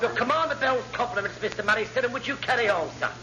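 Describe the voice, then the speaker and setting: An actor playing a brisk, deferential naval rating in classic radio comedy. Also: male voice, very high voice, sharp tone, exaggerated voice. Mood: deferential, urgent. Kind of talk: classic radio sitcom acting. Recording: muffled old radio recording, faint noise.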